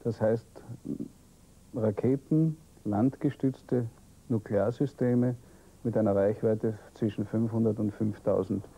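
Male officer in his 50s; low, warm-dark voice; matter-of-fact analyst. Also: fairly even intonation, breathy edge, low-quality recording. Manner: matter-of-fact, measured expert. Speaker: military analyst guest. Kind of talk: studio interview. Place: TV studio.

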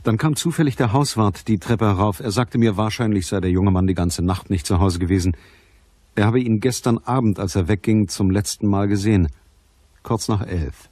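Male detective narrator, played by an actor, radio crime play: low, husky, matter-of-fact, recorded dry. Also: normal speed, dark tone, no room sound, lightly acted.

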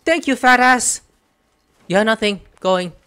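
A young man giving casual, crude, excitable gameplay commentary as a streamer, close to the mic at home. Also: high-pitched, takes it slowly, clean tone. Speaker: gaming streamer. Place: room at home. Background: game music.

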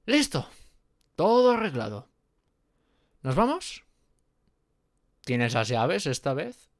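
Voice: medium pitch, very animated, takes it slowly, no echo, studio quality. A performer with an accent from Spain voicing a nervous, apologetic, friendly gorgon in a roleplay audio drama, hesitant and rambling as if talking to one listener. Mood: relieved, friendly. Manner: hesitant, rambling, muttering to self.

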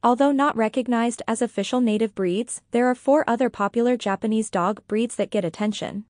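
A woman reading a scripted educational podcast narration in a measured, formal manner. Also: on the high side, a little mellow, no echo, clean studio sound.

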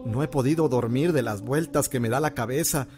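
An actor playing a humble, confused, grieving husband from a village in an audio drama. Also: male voice, mid-range voice, a little fast, over music, natural voice. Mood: troubled. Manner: humble, deferential, troubled.

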